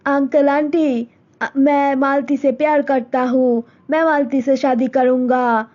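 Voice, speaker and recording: A sincere young suitor in a storybook cartoon, voiced by a woman. He speaks hesitantly in a high, mellow voice. The recording is dull and lo-fi. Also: little pitch movement, takes it slowly. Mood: earnest. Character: earnest young man in love.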